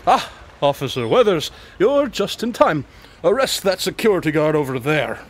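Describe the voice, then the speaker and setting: A smug, eccentric male detective in a radio drama. He speaks slightly high, breezy and self-assured, correcting people pedantically, over faint background ambience and noise. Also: sing-song, normal speed.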